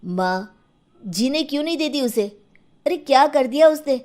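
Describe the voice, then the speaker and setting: Woman playing a whiny, protective young husband, highish. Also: expressive, measured pace, mellow. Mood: protesting. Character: doting young husband protesting to his mother.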